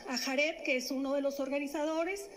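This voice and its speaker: A middle-aged woman, a city official, announcing an event at a press conference into a stand microphone, speaking haltingly with many fillers and restarts. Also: high voice, fairly even intonation, slight background noise. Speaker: municipal government official. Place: indoor press room.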